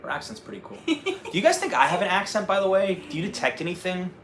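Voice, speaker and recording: A man's voice: high voice, expressive, fast, a bit bright, slight background noise. A man with a slight North Jersey accent, hosting a casual travel-channel livestream and answering viewer questions. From North Jersey, USA. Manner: chatty, answers viewer questions, restarts and fillers.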